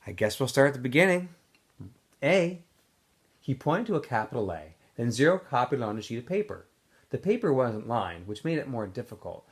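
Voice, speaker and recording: A man, a teacher, reading a novel aloud in a relaxed way with small slips and fillers. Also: medium pitch.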